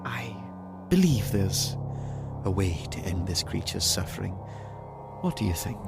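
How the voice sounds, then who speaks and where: An actor playing a cold, menacing authority figure in an audio drama, speaking in a measured, condescending, threatening way. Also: male voice, low voice, sing-song, creaky, full character voice. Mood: cold menace. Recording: music bed under the voice.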